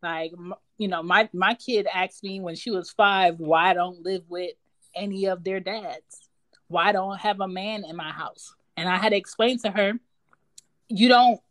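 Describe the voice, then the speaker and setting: A woman in her thirties hosting a podcast, speaking candidly and bluntly, swearing freely. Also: medium pitch. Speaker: podcast host. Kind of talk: casual podcast chat.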